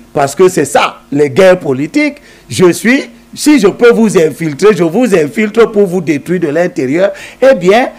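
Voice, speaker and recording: A man's voice: sits high, darkish tone. A man, a politician, talking as a guest in a TV interview in an emphatic, lecturing way, repeating points to drive them home.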